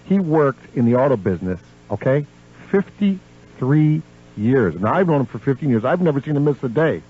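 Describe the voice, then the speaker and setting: A man hosting a casual radio interview, excitable and quick to interject and agree. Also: mid-range voice, husky. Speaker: radio show host. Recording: narrow phone-like radio audio.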